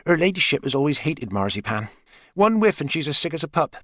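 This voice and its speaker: A man voicing a brisk, hospitable, no-nonsense mother who runs a guesthouse, in an audiobook. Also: on the high side, big pitch swings, a bit bright, dry recording, studio quality, pitched above the performer's own voice.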